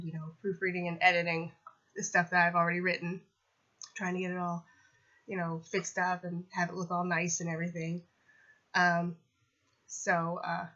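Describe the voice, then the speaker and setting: A woman's voice: lowish voice, bright, forceful tone, lo-fi sound. A young woman with a slight cold, sniffly and congested, talking casually about her latest book in a rambling way, full of ums and you knows. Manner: rambling, lots of fillers like um and you know. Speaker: self-published author vlogging. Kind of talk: casual update vlog.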